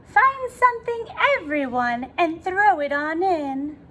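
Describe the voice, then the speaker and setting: A woman voicing an excitable, warm-hearted witch from a storybook, shrill and exclaiming. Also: takes it slowly, slight background noise, heavily acted. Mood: grateful, excited.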